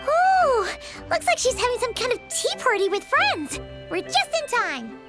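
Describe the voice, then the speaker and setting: Game companion: a tiny excitable girl fairy, very high and sing-song. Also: a little slow, heavily acted. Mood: curious. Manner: excitable, talks about herself in third person. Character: tiny chirpy female floating fairy companion. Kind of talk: video game voice acting. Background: game music.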